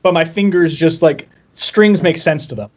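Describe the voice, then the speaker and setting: A man with a slightly high voice tells stories about himself in a casual interview. He comes across as wry and self-deprecating, talking informally as a musician guest would. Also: moderate pace. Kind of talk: casual chat, personal storytelling.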